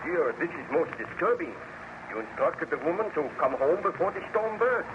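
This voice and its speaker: A muffled, hissy vintage radio recording carries a haunted, weary baron. His voice sits fairly high for a man, and he speaks in formal, measured, theatrical sentences. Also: sing-song, a crowd in the background. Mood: concerned reassurance. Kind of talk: 1930s radio drama acting.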